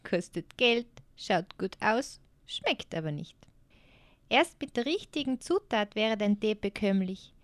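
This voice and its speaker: A woman voicing a small, dirty but wise girl in an audio story, speaking gently and softly. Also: mid-range voice, lively intonation, measured pace, dry recording, lightly acted. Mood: gentle. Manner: gentle, soft-spoken storytelling. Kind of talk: audiobook character voice.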